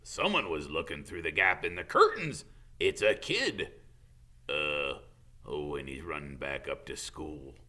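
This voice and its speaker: A big doting gamekeeper from a storybook, acted out by a male reader, tearful and soft-hearted. The words come halting and stretched, with a crooning sentimentality, and the pitch swoops widely in a bright, forceful tone. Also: sing-song, full character voice. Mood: alarmed.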